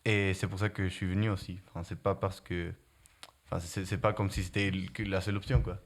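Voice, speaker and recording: Young man, Spanish-accented, lower voice, halting casual answers with restarts. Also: level tone. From Guatemala. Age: young adult. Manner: halting, many restarts, searches for words. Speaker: prep-school student.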